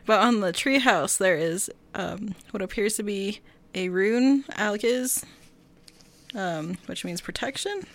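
A woman with a medium-pitched voice who speaks quite slowly. She offers tentative explanations in casual podcast conversation as one of the hosts. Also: vocal fry. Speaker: podcast co-host. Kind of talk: casual film discussion.

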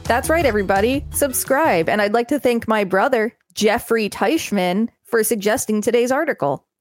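A woman co-hosting a podcast, reading an article aloud in a measured, deliberate way and breaking off for chatty asides. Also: on the high side, no echo, clean studio sound, soft background music.

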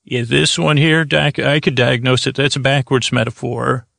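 A man hosting a bedtime comedy podcast, improvising a meandering, halting, absurdist monologue in a creaky voice. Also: mid-range voice, fairly even intonation, a bit bright, no echo, studio quality. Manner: meandering, halting, absurdist one-sided conversation with an imagined doctor. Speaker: podcast host.

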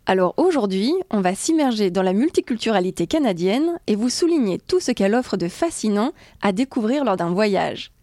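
A woman from France co-hosting a travel podcast in a bright, upbeat way. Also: medium pitch, very animated, moderate pace, few pauses, no echo. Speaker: podcast co-host. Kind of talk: scripted travel podcast talk.

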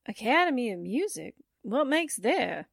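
A girlish radio-drama sidekick voiced by a woman talks in a high, swooping voice. She is naive and excitable yet slow of speech, recorded quite dry. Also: gravelly, breathy edge, no echo, full character voice. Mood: puzzled. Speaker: actor. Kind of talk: 1940s radio drama acting.

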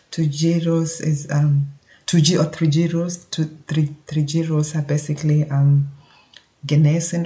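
Hesitant Kenyan man, flat and gravelly. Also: a little high, low-quality recording. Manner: hesitant, halting, thinking aloud. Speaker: podcast host. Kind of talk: informal solo podcast explainer.

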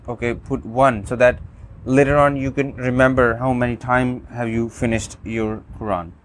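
A man whose first language is Urdu, calmly demonstrating a phone app, with many fillers and restarts. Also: mid-range voice, slight background noise. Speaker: app reviewer.

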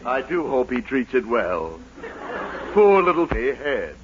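Prim, swooping, sarcastic American secretary. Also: male voice, mid-range voice, sing-song, slow, crowd noise. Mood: smug, officious. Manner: prim, dry, condescending. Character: officious, sarcastic male mayor's secretary. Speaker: mayor's secretary. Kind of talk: 1940s radio sitcom acting. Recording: muffled, hissy 1940s radio recording.

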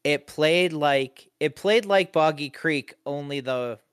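A man co-hosting a podcast in casual chat, dry and halting with many restarts. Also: a little high, clean tone, dry recording. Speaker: podcast co-host.

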